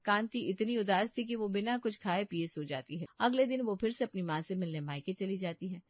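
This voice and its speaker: A woman's voice: medium pitch, lively intonation, a little fast, no room sound, highs cut off. A woman narrating a scripted story as a storyteller.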